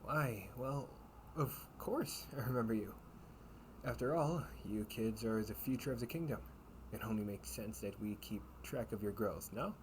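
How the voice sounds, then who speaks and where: A man's voice: on the low side, normal speed, darkish tone, lightly acted. A man playing a kindly, teasing knight captain in a comfort audio roleplay, speaking in courtly, old-fashioned phrases. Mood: amused, apologetic. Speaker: amateur audio roleplay performer. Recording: clearly noisy home recording with ambience.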